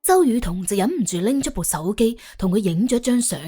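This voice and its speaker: Husky, animated woman storyteller. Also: fairly high pitch, a little fast, faint music behind. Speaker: audiobook narrator.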